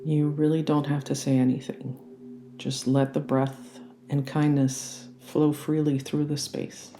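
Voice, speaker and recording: A meditation guide leading a guided relaxation in a gentle, soothing and unhurried manner. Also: medium pitch, flat delivery, slight background noise. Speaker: yoga nidra meditation guide.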